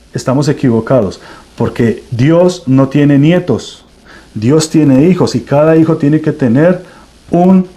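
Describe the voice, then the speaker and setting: Colombian man preaching, mellow voice. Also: fairly high pitch. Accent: Colombian Spanish. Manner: preaching with emphatic swells and frequent restarts. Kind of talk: sermon.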